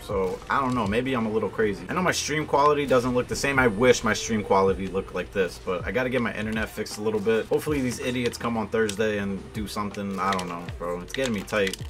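A man's voice: lowish voice, fast talker, hardly any pauses. A young man giving casual gameplay commentary in a slangy, informal, conversational way. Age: young adult. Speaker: gaming video host. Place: indoor room at home, gaming setup.

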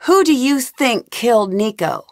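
A woman's voice: a little high, big pitch swings, unhurried, no room sound, clean studio sound. A woman voicing a young woman detective in game voice lines, asking earnest, inquisitive questions in a polite tone. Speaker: voice actor. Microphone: studio mic, dry.

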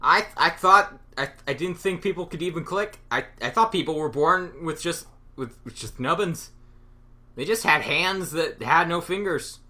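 Stammering young man, bright voice. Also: fairly high pitch, normal speed. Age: young adult. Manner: stammering, absurd and sarcastic. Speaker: channel host. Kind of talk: rambling improvised monologue.

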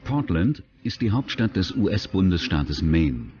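A man's voice: low-pitched, very animated, unhurried, darkish tone, highs cut off, slight background noise. A man reading scripted true-crime documentary narration as the narrator. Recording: music bed behind the voice.

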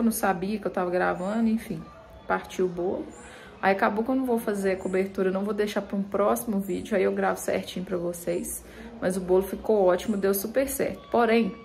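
A woman's voice: mid-range voice, a little hiss or hum. A young woman with a Brazilian Portuguese accent chatting casually to her phone camera in her home kitchen, with many little tag questions. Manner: chatty, relaxed, with many "né" tags. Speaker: vlogger. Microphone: phone held close, selfie framing.

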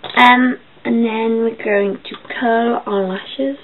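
A young woman with a croaky voice, chatting casually through a makeup tutorial in her bedroom, with filler ums as she goes. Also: a little high, fairly even intonation, slow. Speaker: beauty vlogger. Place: small bedroom. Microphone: built-in webcam mic. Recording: tinny lo-fi webcam audio, faint hiss.

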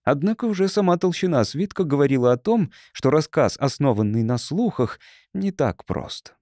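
A man's voice: a little high, big pitch swings, normal speed, a little mellow, dry recording, studio quality. A man narrating an audiobook in a scripted literary reading style.

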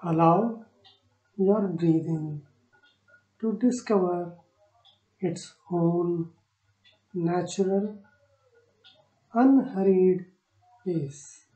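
A woman guiding a meditation in short, soothing phrases with long pauses between them. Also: fairly low pitch, warm tone, highs cut off. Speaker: meditation guide. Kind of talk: guided meditation narration. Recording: roomy echo on the voice.

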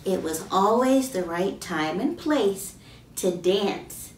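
A woman's voice: mid-range voice, lively intonation, slow. A woman in her thirties reads a picture book aloud to children, expressively, stretching key words and asking her young listeners questions. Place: small plain indoor room. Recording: roomy, distant mic, faint background noise.

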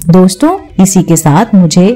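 A woman narrating a scripted devotional programme and reciting prayers in a measured, deliberate way. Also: on the low side, animated, warm tone. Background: music.

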